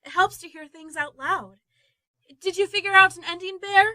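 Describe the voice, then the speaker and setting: An actress playing an encouraging, romantic young woman, speaking gently in a modern radio play. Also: very high voice, normal speed, no room sound, clean studio sound. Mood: curious. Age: young adult.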